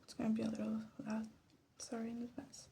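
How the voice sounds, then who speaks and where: A soft-spoken woman chatting casually to herself in ASMR style, often slipping into whispers, recorded in a bedroom. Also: on the high side, completely flat delivery, brisk, creaky, noisy background. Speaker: ASMR video creator. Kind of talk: casual ASMR commentary while making perfume.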